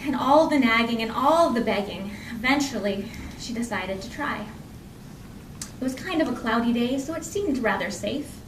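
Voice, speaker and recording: Lady doing live storytelling, high voice, expressive and chatty, kinda far from the mic w a bit of noise. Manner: expressive, conversational, with pauses and repeated phrases for effect. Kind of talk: live oral folk tale storytelling. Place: indoor library program before an audience. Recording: echoey room from far off, faint noise.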